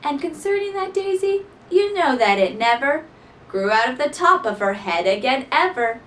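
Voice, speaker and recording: A woman reading a rhyming children's story aloud in an expressive, theatrical style. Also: sits high, normal speed. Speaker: storybook reader. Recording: voice somewhat distant from mic.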